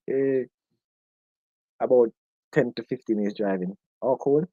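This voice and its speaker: Joking Jamaican man, husky and dull. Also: mid-range voice, dark tone, dry recording. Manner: playful, joking, laughs often. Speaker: host of a live discussion. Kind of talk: casual live-stream chat. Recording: dull lo-fi sound.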